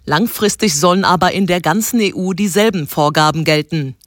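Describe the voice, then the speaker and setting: Brisk female radio reporter. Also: fairly low pitch, no echo, studio quality. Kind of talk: scripted news report.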